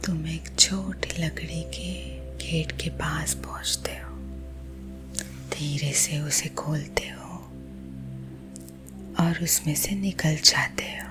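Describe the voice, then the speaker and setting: Indian woman doing asmr sleep hypnosis, soft husky whisper, super slow, music playing behind her. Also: low voice, steady tone. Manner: slow, soothing, gentle, hushed. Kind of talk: whispered ASMR guided visualisation. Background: soothing music.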